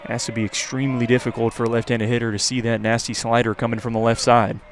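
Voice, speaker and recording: A man's voice: medium pitch, level tone, fast talker. A man with an American accent doing live radio play-by-play commentary of a baseball game as announcer. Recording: clear background noise from outdoor ballpark.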